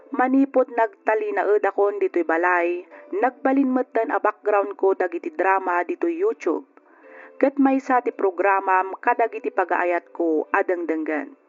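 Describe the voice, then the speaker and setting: A man's voice: high-pitched, animated, moderate pace. A man playing a sentimental letter writer, narrating his life story for a radio drama. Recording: dull audio over faint music.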